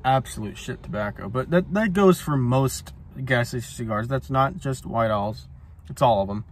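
A man's voice: medium pitch, animated, normal speed, a little hiss or hum. A man in his twenties casually reviewing a cigar while smoking it in a parked car, rambling with lots of ums, recorded on a phone camera. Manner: laid-back, rambling, many ums and uhs. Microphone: phone camera on dashboard.

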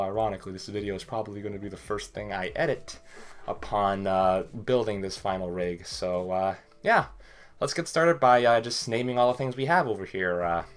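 A young man talking casually to his webcam in a small bedroom, a little sick with a cough, rambling with many fillers as he walks through computer parts. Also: fairly low pitch. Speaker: tech vlogger. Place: small bedroom with hardware boxes. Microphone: webcam at desk.

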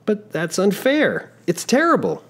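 A man reading a storybook aloud, voicing an eager, polite young male traveler who protests quickly. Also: sits high, wildly swooping pitch, fairly bright tone, pitched above the performer's own voice, full character voice. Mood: indignant.

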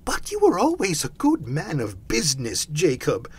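A man voicing a timid old miser in a storybook reading, high-pitched, faltering and deferential. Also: huge pitch swings, moderate pace, creaky, slightly rough, full character voice. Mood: meek, puzzled. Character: timid, pleading old miser humbled by fear.

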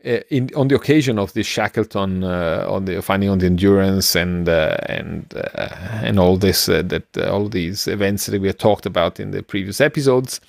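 A middle-aged man co-hosting a podcast, explaining things casually in a halting, rambling way. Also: low-pitched, creaky, dry recording, studio quality. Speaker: podcast co-host. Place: small room at home, on a video call. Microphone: close microphone, headphones.

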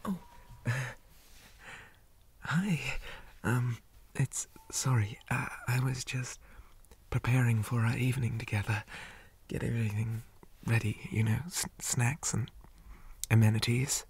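A man playing a shy, old-fashioned zombie boy on a date in an ASMR roleplay, speaking softly and breathily, often near a whisper, with hesitant stammers and formal wording. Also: mid-range voice, fairly even intonation, slow pace, breathy edge, heavily acted. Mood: shy, excited. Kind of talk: ASMR roleplay, soft half-whispered talk.

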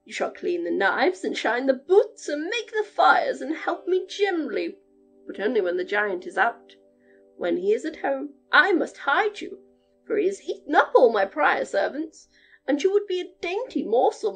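A bossy, overworked giantess talks in loud, commanding tones, voiced by a woman reading a fairy tale. Her high voice leaps up and down. Soft music plays underneath. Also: very animated, normal speed, vocal fry, heavily acted, rough recording. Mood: domineering. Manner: loud, domineering.

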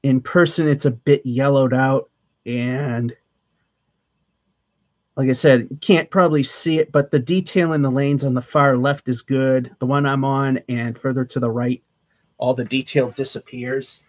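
A man's voice: mid-range voice, fairly even intonation, unhurried, a little mellow. Rambling man talking to his webcam. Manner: rambling, chatty, with fillers and restarts. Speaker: channel host. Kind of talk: casual vlog update. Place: small attic room with sloped wood-paneled ceiling. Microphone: webcam at desk distance.

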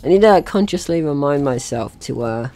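A man's voice: fairly high pitch, animated, moderate pace, dark tone. A man giving casual gameplay commentary, chatting through his own actions in short remarks. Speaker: gaming video host.

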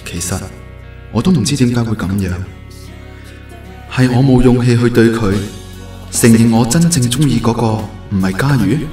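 A man's voice: mid-range voice, moderate pace, pauses often, mellow, natural voice. A man acting a devoted, earnest and unsure young man in love in a radio drama, with a Hong Kong Cantonese accent. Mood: wistful. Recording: roomy echo, music bed.

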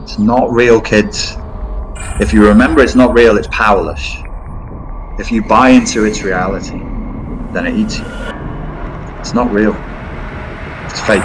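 A man's voice: lowish voice, noisy background. A man giving an informal monologue in a blunt, emphatic, repetitive way.

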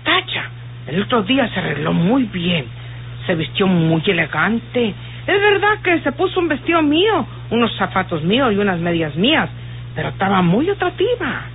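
A woman's voice: mid-range voice, very animated, moderate pace, a little hiss or hum. An actress playing a jealous, rustic woman confiding suspicions in a folksy, hesitant radio drama manner. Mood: jealous, suspicious. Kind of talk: old radio drama acting. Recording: muffled tinny old radio recording.

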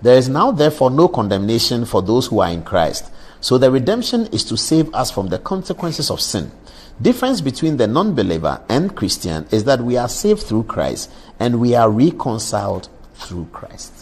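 A male talk show host with a slightly husky voice that rises and falls a lot. He pushes the conversation along with quick follow-ups and reads viewer messages aloud. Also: mid-range voice. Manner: lively, interjecting, presses the guest with follow-ups. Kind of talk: live studio discussion, reading viewer messages.

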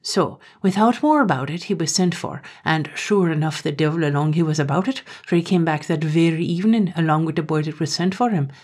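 Woman, storyteller, fairly low pitch, scripted folk tale narration. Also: brisk, few pauses, a little mellow, husky.

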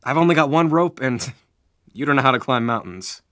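A man's voice: mid-range voice, animated, normal speed, a bit bright, no room sound, natural voice. Man as dry-sounding skeptical young mountain man.

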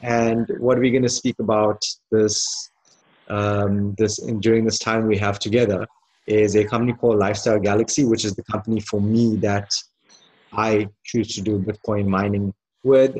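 South african guy presenting online, lowish voice, measured n deliberate, dry sound no echo. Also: flat delivery, darkish tone, husky. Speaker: guest presenter. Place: indoor room, on a video call.

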